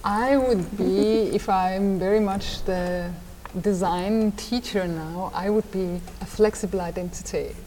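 A woman's voice: mid-range voice, steady tone, measured pace, few pauses, dark tone. Woman, Austrian accent, fifties, hesitant restarts, reverberant room, faint background hum. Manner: hesitant, with frequent repeated words and restarts. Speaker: designer and design teacher. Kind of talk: conversational interview answers.

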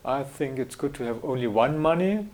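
A middle-aged German graphic designer answering interview questions in English with a German accent, hesitant and full of fillers and restarts. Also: male voice, mid-range voice, lively intonation, normal speed, a little mellow.

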